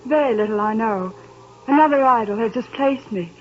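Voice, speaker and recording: An actress playing a sorrowful young woman who breaks off her engagement, in a 1930s radio drama, with a gentle, resigned manner. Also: a little high, normal speed, natural voice. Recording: muffled noisy 1930s radio recording.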